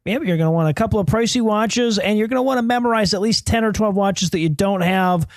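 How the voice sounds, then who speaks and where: A man acts out a knowing bookstore clerk in a comedy sketch, helpful and deadpan. The voice is high for a man and halting, with a close, dry sound. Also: dry recording, natural voice.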